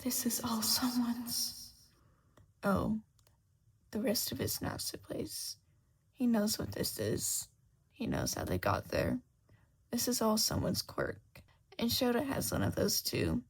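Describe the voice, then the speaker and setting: A woman narrating fan fiction dramatically and expressively, at times hushed. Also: on the high side, huge pitch swings, measured pace, vocal fry, pauses often, husky. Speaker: podfic narrator.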